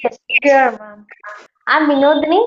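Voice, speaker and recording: A woman's voice: high-pitched, moderate pace, fairly bright tone. A young woman from Tamil Nadu with a Tamil Indian English accent, talking politely and hesitantly while practicing English conversation. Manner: polite, hesitant, fillers like "you know". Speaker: channel host, English learner. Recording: slightly dull, faint noise.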